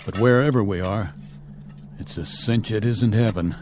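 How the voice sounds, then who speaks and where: A man playing a stern, level-headed colonel commanding a stranded space crew in a radio drama, with a measured, authoritative manner. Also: fairly low pitch, darkish tone, a little hiss or hum, natural voice. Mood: resolute, matter-of-fact.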